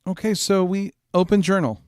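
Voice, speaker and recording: A man's voice: high-pitched, steady tone, moderate pace, darkish tone, smooth, no echo, clean studio sound. A man hosting a casual playthrough, giving short voice commands and remarks. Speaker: show host playing a voice game. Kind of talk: casual spoken game playthrough.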